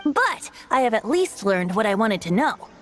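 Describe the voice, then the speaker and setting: Boy bard for fantasy game: high, very animated, theatrical, sly and cajoling. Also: normal speed, a little hiss or hum. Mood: wry. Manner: playful, grandiose, cajoling. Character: cheeky, theatrical young male bard, a god in disguise. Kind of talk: fantasy video game voice acting. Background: background music.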